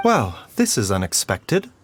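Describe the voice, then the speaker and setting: A man voicing a gentle, composed healer and pharmacist in a video game, speaking politely and formally. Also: on the low side, wildly swooping pitch, a little slow. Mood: courteous. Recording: game music behind the voice.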